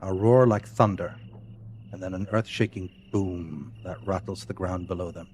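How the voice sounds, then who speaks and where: A man's voice: sits low, fairly even intonation, moderate pace, a little mellow, a little hiss or hum. A man narrating a scripted audio drama as its storyteller. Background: music.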